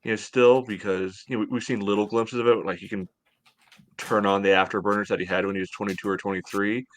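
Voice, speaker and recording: A man in his thirties giving casual sports analysis in a measured, conversational way as a podcast co-host on a video call from home. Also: lowish voice, level tone. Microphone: headset on video call.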